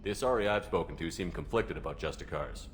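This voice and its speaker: Deep-voiced, brisk commander, played by a male actor. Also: slight background noise, close to an everyday voice. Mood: probing, calm. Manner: direct, plainspoken, inquisitive. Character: human male military commander, steady, supportive, direct. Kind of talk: video game dialogue acting.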